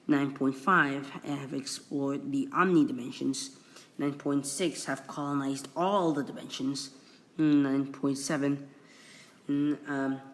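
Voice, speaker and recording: A young man from Indonesia, an English speaker, casually explaining things he reads off a wiki, stumbling and restarting often. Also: fairly high pitch. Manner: halting, many restarts and apologies. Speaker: video creator. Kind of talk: casual screen-recorded explainer reading from a wiki.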